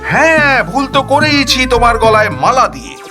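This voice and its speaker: Man putting on a shrill, furious scolding wife. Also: very animated, normal speed, music in the background. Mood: angry, indignant. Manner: shrill, indignant, haranguing. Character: angry, scolding married woman accosting a supposed husband.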